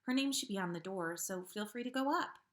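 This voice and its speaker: A woman's voice: medium pitch, animated, a little fast, lightly acted. A woman voicing a meek teenage schoolgirl from a fan story, hesitant and embarrassed. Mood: nervous, helpful. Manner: hesitant, stammering.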